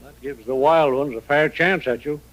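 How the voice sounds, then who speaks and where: An actor playing an earnest, practical mayor in an old-time radio western, explaining things in a conciliatory way. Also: male voice, on the high side, moderate pace, fairly bright tone, lightly acted, low-quality recording. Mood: earnest, businesslike.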